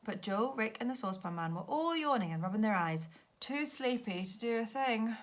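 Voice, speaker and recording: A woman teacher reading a children's story aloud with expressive narration. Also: a little high, moderate pace.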